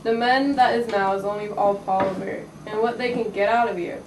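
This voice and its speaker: A woman's voice: mid-range voice, steady tone, fairly quick. Student girl reading plainly in an echoey room. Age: young adult. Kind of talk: amateur student drama. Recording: echoey room from far off, noisy.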